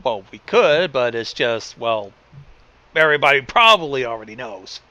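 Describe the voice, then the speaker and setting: A man's voice: mid-range voice, sing-song, normal speed, pushed and bright, dry recording, highs cut off. A man giving casual, wry, sweary commentary as co-host of a story reading.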